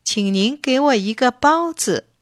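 A woman's voice: sits high, lively intonation, a little slow, no echo, clean studio sound, voice pushed up. A woman voicing a hot-tempered, sulky little boy in a children's story, in an emphatic childlike manner. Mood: pleading.

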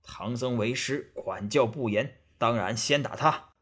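A man's voice: medium pitch, a little slow, fairly bright tone, breathy edge. A man voicing a stern, angry old Taoist immortal in a storybook, scolding and commanding. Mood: cold command.

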